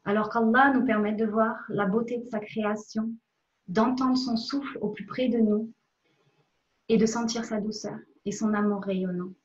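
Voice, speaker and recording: A woman's voice: on the high side, steady tone. Reflective woman, muffled recording. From France. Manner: reflective, hesitant with frequent pauses. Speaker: member of a religious community giving a testimony. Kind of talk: personal spiritual testimony, spoken to a recording. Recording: dull, muffled lo-fi sound.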